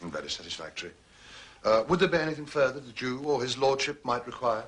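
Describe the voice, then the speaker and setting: An actor playing a deferential, unflappable butler in a period mystery drama, formal and carefully hesitant. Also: male voice, mid-range voice, expressive, a bit bright, a little hiss or hum, close to an everyday voice. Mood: smoothly explanatory. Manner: formal, obsequious, measured hesitations. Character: smooth, deferential male butler, unflappable and evasive.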